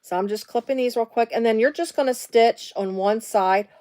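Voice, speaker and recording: A woman's voice: a little high, moderate pace, darkish tone, no echo. A middle-aged woman, hosting a casual live sewing demonstration from her home sewing room and rambling into side stories with lots of fillers. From Midwestern US. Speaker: sewing tutorial host.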